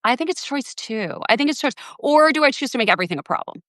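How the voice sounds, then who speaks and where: A woman's voice: high voice, expressive, quick pace, fairly bright tone, no echo, studio quality. Reflective woman thinking aloud. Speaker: host. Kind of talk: casual conversation on a live video. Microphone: video call.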